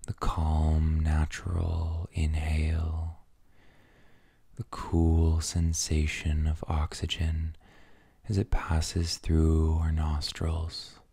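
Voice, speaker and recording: A man leading a guided meditation in a soft, soothing, breathy near-whisper, gently and with long pauses between phrases. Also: extremely low pitch, completely flat delivery, dry recording.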